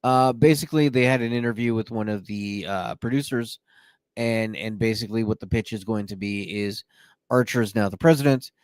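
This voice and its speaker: A man hosting a podcast, relaxed and a bit jokey, running through news with many fillers. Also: lowish voice, brisk, no room sound. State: a little woozy. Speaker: podcast host. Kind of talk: casual chat, reading news.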